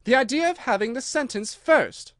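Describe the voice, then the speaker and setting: Man playing a young storybook girl, defiant, blunt, raised pitch, lively, unafraid. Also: normal speed, no echo, studio quality.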